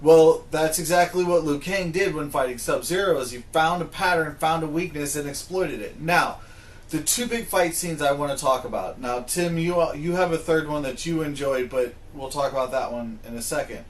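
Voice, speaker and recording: A man's voice: on the high side, moderate pace. A man hosting a casual discussion in an informal, opinionated way. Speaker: podcast host. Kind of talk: casual discussion of video game movies. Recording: roomy, echoing room sound.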